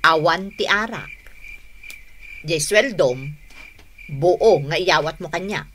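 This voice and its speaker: A woman's voice: on the low side, takes it slowly, a bit bright, slight background noise. An actress with an Ilocano accent playing a bossy, caring wife and mother in a radio comedy drama. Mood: bossy, nagging. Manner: bossy, nagging, practical.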